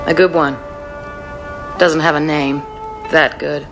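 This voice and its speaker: An actress playing a cool, dangerous female mercenary, terse, dry and unhurried, in a radio drama. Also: sits low, fairly even intonation, music in the background. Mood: matter-of-fact. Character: tough, cool female razor-fingered mercenary, laconic and dangerous. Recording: noisy background ambience, rain-like hiss.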